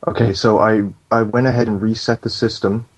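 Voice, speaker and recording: A man playing a calm, bossy fire alarm operator on a prank phone call, deadpan, procedural and insistent. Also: on the low side, fairly quick. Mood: deadpan, official. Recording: dull lo-fi phone call recording.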